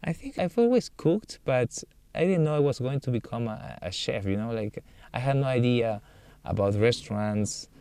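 A Peruvian man, a chef, answering interview questions in a relaxed way, thinking aloud with many fillers. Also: mid-range voice, moderate pace, smooth, no echo. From Peru. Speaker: chef and cookbook author.

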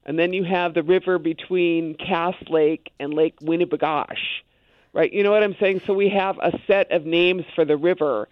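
A man's voice: fairly high pitch, fairly even intonation, normal speed, no room sound. Man talking over a tinny phone line. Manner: loose and conversational, many asides. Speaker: guest. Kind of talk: casual conversation on a radio show.

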